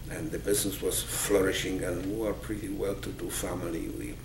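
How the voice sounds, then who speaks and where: Interviewee: man in his eighties, Polish accent, gravelly recollections, recorded in a roomy, noisy space. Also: low voice, little pitch movement, brisk. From Poland. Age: 80s. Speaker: interviewee, Holocaust survivor. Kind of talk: oral history recollection. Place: small office indoors, seated. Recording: roomy, noisy, distant microphone.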